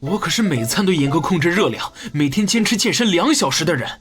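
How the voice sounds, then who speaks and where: A woman playing a bossy, short-tempered police team leader in a comic animated drama, scolding and exasperated. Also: lowish voice, quick pace, soft background music, natural voice.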